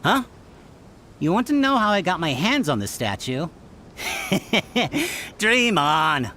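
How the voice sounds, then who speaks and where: Cagey, lively townsman. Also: high voice, slow pace, a little hiss or hum, exaggerated voice. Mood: curt, dismissive. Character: cagey, defensive townsman, grudging and self-justifying. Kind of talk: English video game voice acting. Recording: faint game music behind the voice. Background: faint video game music.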